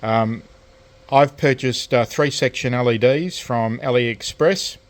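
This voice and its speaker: A man giving a casual, unscripted hands-on tutorial as a hobbyist, speaking hesitantly with frequent pauses. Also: mid-range voice. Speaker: hobbyist presenter of a DIY electronics video. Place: indoors at a cluttered radio workbench.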